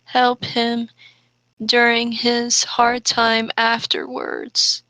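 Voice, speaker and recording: A woman co-hosting a comedic read-aloud, halting over the text and adding exasperated asides. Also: on the high side, monotone, bright, forceful tone, no room sound, poor audio. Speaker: co-host reading fan fiction aloud.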